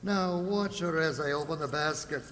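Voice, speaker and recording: In a reverberant, noisy hall, a man plays a hearty old-time radio-play sea captain. He is jovial and exclamatory, speaking slowly in a fairly high male voice. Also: fairly bright tone, close to an everyday voice. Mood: genial, confident. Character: hearty, patient merchant sea captain and doting father. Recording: reverberant hall with clear background noise.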